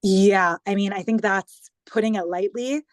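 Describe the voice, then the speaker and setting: A woman's voice: medium pitch, unhurried, no echo, studio quality. A woman in her thirties from New York with an American accent, speaking earnestly and fluently as a guest on a video call. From New York, United States. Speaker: guest, government digital communications head.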